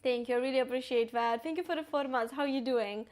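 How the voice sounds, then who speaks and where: A woman's voice: high-pitched, fast talker, darkish tone. A woman in her twenties from Ukraine, speaking with an Italian accent, chatting casually with live-stream viewers and reading their messages aloud while cooking. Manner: chatty, talks to viewers and reads chat aloud, lots of "like".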